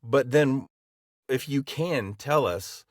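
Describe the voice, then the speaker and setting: A man's voice: medium pitch, slow, dry recording, studio quality. A man co-hosting a podcast, asking rambling questions that restart as he goes. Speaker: podcast co-host. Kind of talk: casual interview questions.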